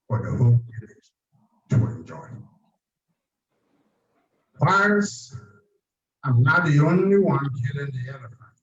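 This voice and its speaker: A Ghanaian man addresses a gathering as chairman of a project, taking his time with each phrase. His voice sits in an ordinary middle register and he lifts it now and then for emphasis. The recording is muffled and lo-fi. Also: medium pitch. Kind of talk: public presentation speech.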